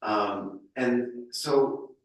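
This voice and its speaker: A man hosting a podcast interview in a casual, easygoing way. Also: medium pitch. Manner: easygoing, unhurried. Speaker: podcast host. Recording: echoey room, distant mic.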